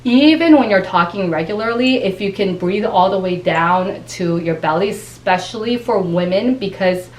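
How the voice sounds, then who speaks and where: A woman's voice: mid-range voice, normal speed, few pauses, a little hiss or hum. Woman with a Japanese accent, coaching. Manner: explanatory, teaching tone with frequent restarts. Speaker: voice and speech coach guest. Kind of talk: conversational interview. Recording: echoey room.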